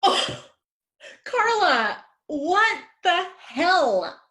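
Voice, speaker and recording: A woman's voice: mid-range voice, lively intonation, moderate pace, lightly acted. A woman playing an anxious, theatrical playwright-director who gushes and rambles dramatically. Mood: flustered. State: panicked shouting near tears.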